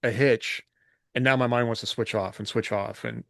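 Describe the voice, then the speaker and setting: A man's voice: mid-range voice, fairly even intonation, moderate pace, vocal fry, a bit bright, no echo, studio quality. A middle-aged man talking casually as a podcast host in a reflective, loosely rambling way.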